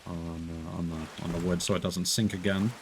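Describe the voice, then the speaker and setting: A man giving casual commentary while playing a co-op game, guiding a teammate and thinking aloud haltingly. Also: low-pitched, flat delivery, a little hiss or hum. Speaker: streamer guiding a co-op player.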